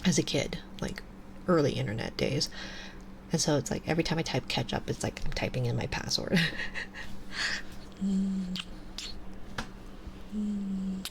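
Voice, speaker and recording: A woman in her twenties with a stuffy nose, chatting softly on a live ASMR stream and slipping into whispers. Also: lowish voice, measured pace, creaky, long pauses, noisy background. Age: 20s. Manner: soft and relaxed, drifting into whispers. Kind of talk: casual chat to a live stream. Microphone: binaural mic.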